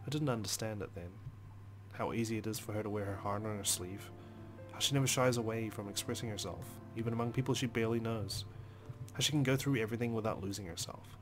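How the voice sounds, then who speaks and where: A man reading first-person game narration aloud as a let's play host, expressive, with dramatic, deliberate phrasing. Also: on the low side. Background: music.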